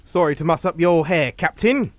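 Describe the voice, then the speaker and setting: A young henchman son played by a man taunts with breezy, mocking politeness in a radio suspense play. His voice is a little high and very lively, recorded dry and close. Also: moderate pace, no room sound, close to an everyday voice. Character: cocky, sneering young adult English son and henchman. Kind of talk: suspense radio drama acting.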